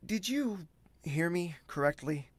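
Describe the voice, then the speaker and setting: An actor playing a gentle, reserved young prince in an audio drama, courteous and measured, with formal wording and a self-doubting streak. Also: male voice, a little high, expressive, dry recording. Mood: composed, courteous. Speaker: character actor and first-person narrator. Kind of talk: scripted audio drama with inner monologue.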